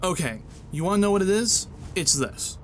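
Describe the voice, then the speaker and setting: Evasive young boyfriend, radio drama, gets defensive, natural delivery, faint noise behind. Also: male voice, high-pitched, sing-song, moderate pace. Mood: defensive, irritated.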